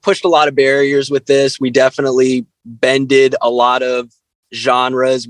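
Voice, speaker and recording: A man speaking as an interview guest, chatting casually and haltingly in informal phrases peppered with filler words. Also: medium pitch, a bit bright, dry recording. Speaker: interview guest, band frontman.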